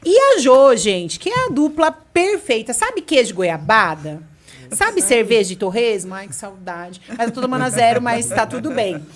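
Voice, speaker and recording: A woman's voice: a little high, sing-song, normal speed, a crowd in the background. A woman hosting a podcast, chatting in a bubbly, talkative way and laughing easily. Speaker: podcast host. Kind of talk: casual chat.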